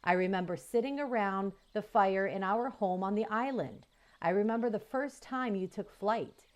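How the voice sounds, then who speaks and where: Woman doing a gentle robot mother. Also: mid-range voice, normal speed, lightly acted. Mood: tender.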